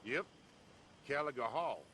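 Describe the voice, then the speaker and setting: An actor playing a curt, unfriendly plantation overseer in a video game. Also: male voice, medium pitch, expressive, a little slow, fairly bright tone, a little hiss or hum. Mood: curt, suspicious. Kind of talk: dramatic video game dialogue.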